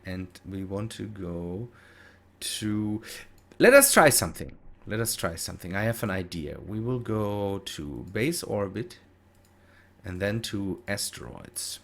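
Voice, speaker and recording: A man's voice: on the low side, sing-song, a little slow, vocal fry, fairly bright tone. A man with a German accent and German as his native language, giving casual gameplay commentary in a hesitant, thinking-aloud way with self-corrections. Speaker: gaming video host.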